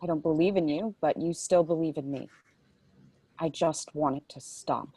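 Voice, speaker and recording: A woman's voice: low-pitched, moderate pace, dark tone, slightly rough. A woman reading the part of a troubled, bitter pregnant woman in a dramatic script table read. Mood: anguished, defiant.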